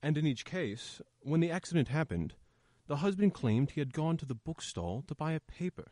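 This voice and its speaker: An actor as a police inspector in a radio drama, calm and considerate. His mid-pitched voice moves up and down expressively while staying measured, recorded dry and close. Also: no echo, natural voice. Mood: grave, explanatory. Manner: measured, reassuring, explanatory. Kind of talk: old-time radio play acting.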